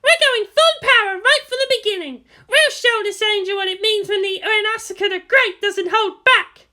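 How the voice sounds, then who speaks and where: A woman's voice: very high voice, moderate pace, pushed and bright, pitched above the performer's own voice. A woman voicing a brash, cocky teenage girl pilot in a story reading, boastful and emphatic.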